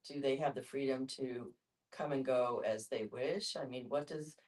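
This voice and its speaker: A participant in a group discussion, asking questions with deliberate, searching phrasing. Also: medium pitch, a little mellow. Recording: distant mic.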